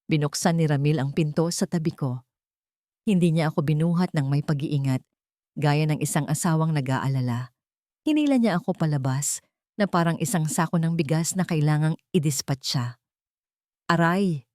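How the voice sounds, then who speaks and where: Low-voiced Filipino woman narrating a drama. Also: normal speed, mellow, no room sound, studio quality. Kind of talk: dramatic first-person scripted story narration.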